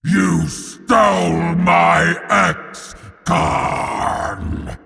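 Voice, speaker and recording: Audio drama villain: colossal demon warlord, slow gravelly snarl, long reverberant hall, bloodthirsty and grandiose. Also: male voice, a little high, big pitch swings, bright, forceful tone, exaggerated voice. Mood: enraged. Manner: bellowing, drawn-out grandiose declarations. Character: huge horned winged demon primarch, wrathful bloodthirsty father. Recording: long hall reverb, faint music behind.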